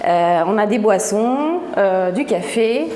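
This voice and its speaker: A woman's voice: medium pitch, lively intonation, takes it slowly, darkish tone, slight background noise. A woman on staff guiding a visitor through a new office building, explaining in a hesitant way with many fillers and frequent laughs. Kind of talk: casual spoken tour explanation.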